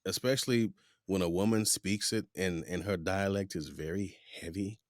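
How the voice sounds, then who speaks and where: A middle-aged man from the United States hosting a podcast, telling stories in a rambling, animated way. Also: sits low, normal speed, no room sound, clean studio sound. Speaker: podcast host. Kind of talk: casual interview chat.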